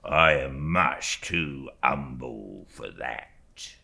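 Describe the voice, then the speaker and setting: Creaky, very deep, fawning clerk. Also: male voice, animated, full character voice. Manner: slow, obsequious, drawn-out, hushed phrase endings. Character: oily, falsely humble young male law clerk. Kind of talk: audiobook character acting.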